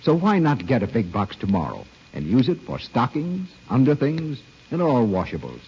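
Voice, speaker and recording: A man announcing on an old-time radio show, reading a scripted commercial in a polished, emphatic sales pitch. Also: wildly swooping pitch, moderate pace, a little mellow, breathy edge. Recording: muffled old radio broadcast.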